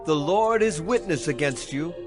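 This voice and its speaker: Man acting solemn old prophet, higher-pitched oration over music. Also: expressive, moderate pace, close to an everyday voice. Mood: solemn, admonishing. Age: older. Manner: solemn, declamatory oratory. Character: aging male prophet, solemn, righteous, stern yet caring. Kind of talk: dramatized scripture acting.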